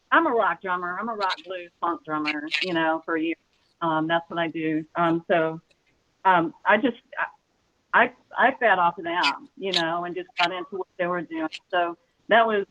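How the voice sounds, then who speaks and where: A woman's voice: lowish voice, normal speed, phone-quality sound, noisy background. A woman drummer from Texas with a slight Southern accent, talking in an enthusiastic, rambling way. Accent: slight Southern American. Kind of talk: casual interview.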